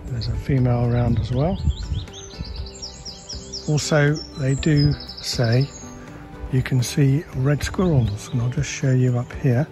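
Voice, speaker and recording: A man talks casually as he strolls, guiding viewers around a wooded campsite with easygoing fillers. His voice sits at a middling pitch, recorded outdoors on a handheld camera while he walks. Music plays clearly underneath. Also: slow, long pauses. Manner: relaxed, chatty, guiding with frequent fillers. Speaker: campsite tour vlogger. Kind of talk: walking tour narration. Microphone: handheld camera, filming while walking.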